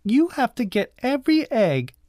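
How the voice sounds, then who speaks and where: A storybook narrator voicing a bossy, grumpy young girl who gives sharp, demanding orders. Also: mid-range voice, big pitch swings, normal speed, dry recording, clean studio sound, voice pushed up, natural voice. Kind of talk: children's storybook character voice.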